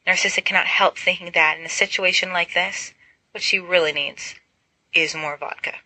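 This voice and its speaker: A woman reading fiction aloud as an expressive audiobook narrator. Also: lowish voice, moderate pace, dry recording, low-quality recording. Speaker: fan fiction audiobook reader. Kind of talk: expressive scripted story narration.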